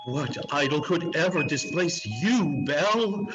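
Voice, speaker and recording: A man's voice: a little high, animated, a little slow, lo-fi sound, music in the background. A man playing an anguished, regretful old miser in a melodramatic, pleading manner for a dramatic story reading. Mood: wistful.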